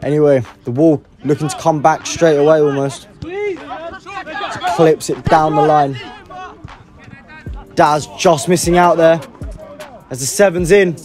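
A man giving live amateur football play-by-play, excitable and prone to raising his voice at big moments. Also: on the high side, unhurried, long pauses. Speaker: football match commentator. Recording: music and crowd noise behind, outdoors. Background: music and outdoor crowd sounds.

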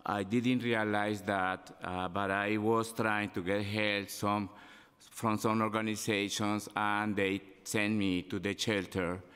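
A middle-aged man from Colombia with a Spanish accent, giving halting, unscripted testimony at a council hearing. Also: fairly low pitch, little pitch movement, a bit bright, clear, resonant tone. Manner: halting, gesturing with his hands. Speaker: public testifier. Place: large city council chamber. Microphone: desk microphone. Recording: long hall reverb.

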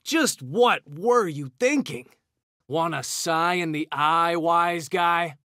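A man's voice: on the high side, slow pace, bright, forceful tone, no room sound, clean studio sound. A man voicing a cocky, hot-tempered young mutant ninja turtle, trading brash, slangy taunts in a fighting video game. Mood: cocky, defiant. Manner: brash, slangy trash talk.

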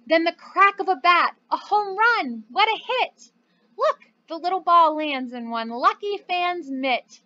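A woman's voice: extremely high pitch, expressive, a little slow, pushed and bright, highs cut off. A young woman, a teacher speaking to small children in a simple, encouraging way. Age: young adult. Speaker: preschool teacher. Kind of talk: children's lesson and storybook read-aloud.

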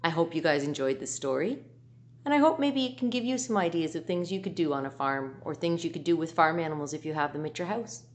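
A middle-aged woman reading aloud to children in an expressive storytelling manner at home in a living room. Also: low voice. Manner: slow, expressive, animated.

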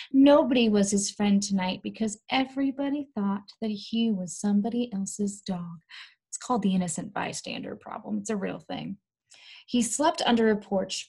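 A young woman reading a storybook aloud to small children in an animated, coaxing way, pausing to ask them questions. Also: mid-range voice, slightly rough. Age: young adult. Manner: animated, coaxing, exaggerated delivery for young listeners. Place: small indoor room.

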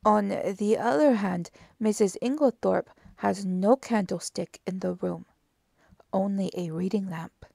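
Woman voicing a deliberate, methodical gentleman detective. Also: medium pitch, gravelly, no room sound, studio quality. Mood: methodical, explaining. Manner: deliberate, formal, exclamatory phrasing. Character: precise, methodical male detective, courteous and self-assured. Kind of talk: audiobook character dialogue.

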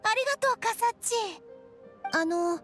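A woman's voice: very high-pitched, expressive, moderate pace, soft background music. A woman voicing a small, eager young male dragon in a video game, speaking in an earnest, childlike way. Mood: shy, hopeful. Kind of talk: Japanese game voice acting.

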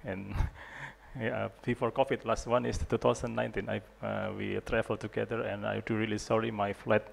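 Indonesian guy giving a talk, gravelly lowish voice, lots of uhs, handheld mic in a big hall. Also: little pitch movement. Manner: self-deprecating, halting with many fillers. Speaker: guest lecturer, urologist. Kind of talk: conference lecture. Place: large lecture hall, indoors.

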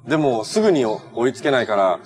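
A man's voice: medium pitch, big pitch swings, a little fast, a little mellow, natural voice. A man acting as a kind, reassuring young hero in natural television drama dialogue. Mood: calm, reassuring.

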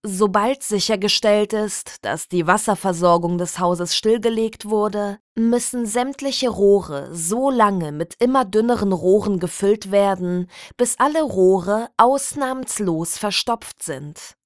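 A woman reading a scripted satirical story as an official public notice, in a formal, measured, deadpan bureaucratic manner. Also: medium pitch, no room sound, clean studio sound. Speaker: narrator of a satirical audio story.

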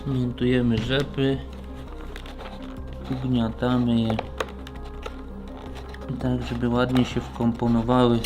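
A man's voice: medium pitch, completely flat delivery, takes it slowly, long pauses, over music. A man gives a casual hands-on tutorial as a technician, explaining step by step in a plain, practical way. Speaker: motorcycle shop technician demonstrating installation.

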